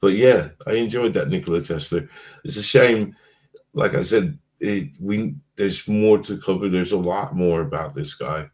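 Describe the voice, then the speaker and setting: A man co-hosting a casual live-stream chat, drowsy from being stoned, rambling and trailing off in a mellow way. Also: low-pitched, moderate pace. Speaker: podcast co-host.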